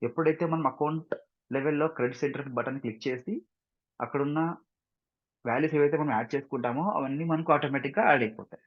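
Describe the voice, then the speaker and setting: Fast-talking Telugu Indian man teaching. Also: medium pitch. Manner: often checks understanding with short tag questions; mixes English technical terms into speech. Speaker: software instructor. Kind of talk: informal screen-share tutorial. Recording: dull, muffled lo-fi sound.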